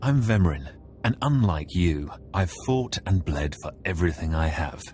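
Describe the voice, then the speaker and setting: An actor playing a smug, proud rival Sith acolyte in a video game, menacing in a controlled, condescending, taunting way. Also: male voice, deep voice, animated, moderate pace, a little mellow, a little hiss or hum. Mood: smug, sizing up.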